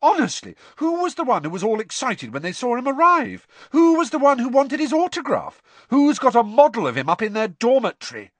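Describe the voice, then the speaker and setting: Man plays indignant schoolgirl for audiobook: bossy, shrill, emphatic, pitched way up. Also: sing-song, normal speed, few pauses, fairly bright tone, no room sound, full character voice.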